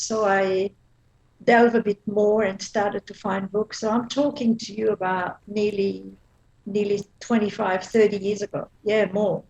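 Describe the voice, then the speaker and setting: Woman, 50s, Belgian accent, interview guest, lively, laughs often, restarts. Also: mid-range voice, lo-fi sound. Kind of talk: casual interview chat.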